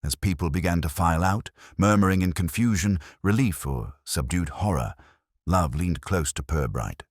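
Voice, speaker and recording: A man narrating a scripted mystery story in a measured, literary storytelling manner. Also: deep voice, expressive, dry recording, clean studio sound.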